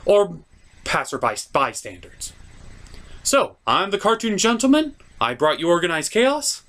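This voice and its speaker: Male review host, very lively swooping intonation, theatrical and sarcastic, scripted comedic narration. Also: sits high, unhurried. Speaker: cartoon reviewer, show host.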